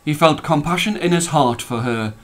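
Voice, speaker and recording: A man reading a story as a storyteller, expressive and dramatic, drawing out the ends of phrases. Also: fairly high pitch, moderate pace. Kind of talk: expressive scripted story narration.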